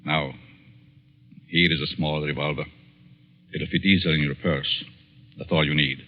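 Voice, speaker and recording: An actor in a 1940s-style radio drama playing a sinister, calculating professor, measured and insinuatingly persuasive. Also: male voice, very deep voice, steady tone. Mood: coolly instructing. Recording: muffled old radio recording, long reverb.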